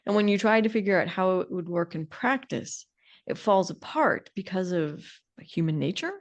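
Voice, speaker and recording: A woman's voice: lowish voice, gravelly, a little mellow. A woman co-hosting a conversational podcast, wry and questioning as she talks ideas over. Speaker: podcast co-host.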